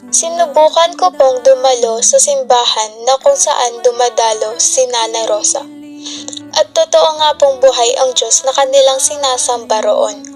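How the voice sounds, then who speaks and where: A high-pitched, devoted young Filipino wife and mother, acted by a teenage girl, speaking as in a student radio drama. Music plays behind her simple, heartfelt lines. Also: unhurried. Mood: earnest, devout. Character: devoted, poor young wife and mother, later grieving widow.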